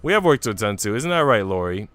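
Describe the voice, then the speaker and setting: A defiant housemaid played by a man answers with composed, dry politeness. The voice is smooth and clear with lively inflection, in visual novel style. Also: fairly low pitch, fast, no echo, soft background music. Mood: calm defiance. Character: cool, calm, protective housemaid, defiant and dry.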